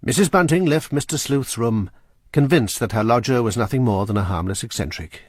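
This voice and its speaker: Husky man narrating a drama. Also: medium pitch, a little fast, no echo, clean studio sound.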